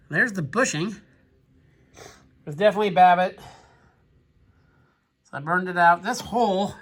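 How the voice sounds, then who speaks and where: A man's voice: high voice, gravelly, sharp tone. A man with a cold, sniffling now and then, thinking aloud in casual commentary as he takes apart an old machine in his shop. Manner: thinking aloud, plain-spoken, musing. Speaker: machinist, channel host.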